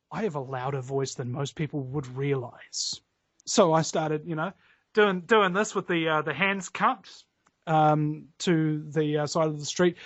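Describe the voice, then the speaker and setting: A heated, foul-mouthed man with a New Zealand accent, talking on the high side of the male range. His voice sounds dry and close. The lo-fi recording dulls it. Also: dry recording. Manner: heated, profane storytelling. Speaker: guest. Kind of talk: casual podcast interview.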